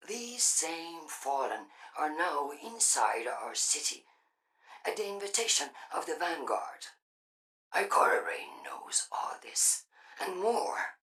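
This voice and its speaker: An actress playing an imperious robot demagogue giving an ominous, deliberate propaganda broadcast in a video game, with a husky, breathy voice. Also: female voice, deep voice, a bit bright, far from the mic, full character voice, rough recording. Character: imperious female robot demagogue warning of invasion.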